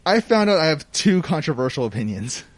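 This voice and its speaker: A man co-hosting a podcast, chatting casually and giving opinions in a halting way. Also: fairly high pitch, sing-song, dry recording. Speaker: podcast co-host. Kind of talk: casual chat.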